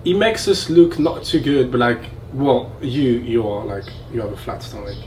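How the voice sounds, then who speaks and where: A man's voice: mid-range voice, lively intonation, moderate pace, darkish tone. A young French-accented man hosts a casual podcast chat, rambling and giggling with a constant stream of "like." He sits a little away from the mic. Faint background hiss runs under the talk. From France. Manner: loose, giggly, heavy use of "like". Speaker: podcast host. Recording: distant mic with faint ambient noise.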